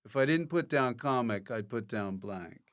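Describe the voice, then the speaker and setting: A man's voice: mid-range voice, animated, normal speed. A man hosting a podcast, chatting casually with playful, teasing banter. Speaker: podcast host.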